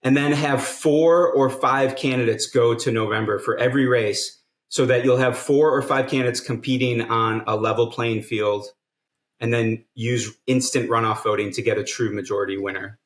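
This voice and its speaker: An earnest man walks through his reasoning one step at a time, mid-pitched and steady. His voice carries a noticeable roomy echo from an indoor space. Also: normal speed. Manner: earnest, explanatory, builds arguments step by step. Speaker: guest, nonprofit co-founder. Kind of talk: podcast interview.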